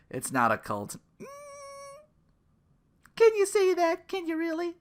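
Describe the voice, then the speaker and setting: A podcast host in their thirties on a casual comedic rant, sarcastic and profane, sometimes slipping into mocking quotes. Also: very high-pitched. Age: 30s.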